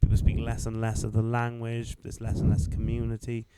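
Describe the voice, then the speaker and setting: A man in his twenties co-hosting a podcast, chatting briskly and steering the talk. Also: on the low side, monotone, heavy background noise. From Wales. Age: 20s. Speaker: podcast co-host. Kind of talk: casual chat.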